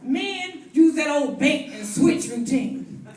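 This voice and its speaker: A woman's voice: high-pitched, animated, a little mellow. A woman acting in a stage play as a blunt, sassy, protective best friend, with emphatic, sardonic delivery. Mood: sardonic, schooling. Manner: emphatic, drawn-out, sardonic, preacherly flourishes. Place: stage in a roomy hall. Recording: echoey hall from far off.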